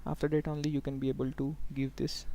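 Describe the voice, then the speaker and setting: Flat-toned man giving a software walkthrough. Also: mid-range voice, fast, slight background noise. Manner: instructional, often checking in with okay and look here. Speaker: software trainer. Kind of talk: live software tutorial, step-by-step walkthrough.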